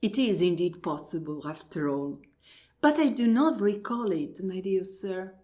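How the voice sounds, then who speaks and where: Prim, courteous lady for absurdist radio comedy, female actor. Unhurried, formal, animated melody, mock astonishment. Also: medium pitch, close to an everyday voice. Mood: polite doubt. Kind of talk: absurdist stage dialogue read as radio play.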